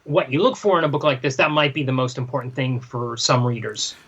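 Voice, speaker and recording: A man's voice: medium pitch, fairly even intonation, a little fast, fairly bright tone, breathy edge, lo-fi sound. A man co-hosting a podcast in casual discussion, giving his review. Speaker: podcast co-host reviewing comics.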